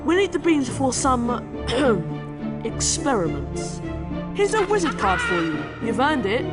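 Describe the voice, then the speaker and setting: A woman's voice: fairly high pitch, sing-song, takes it slowly, over loud music. A woman voicing a cheerful, mischievous schoolboy wizard who gives upbeat instructions as video game tutorial dialogue. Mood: helpful, mischievous.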